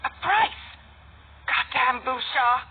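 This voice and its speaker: A woman's voice: sits high, lively intonation, slow pace, slightly rough, heavily acted. A woman playing a scornful, defiant young woman in a video game, with a sardonic, biting manner. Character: sharp-tongued, scornful young woman, defiant captive companion. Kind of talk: scripted video game cutscene acting. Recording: tinny phone-like band, noisy.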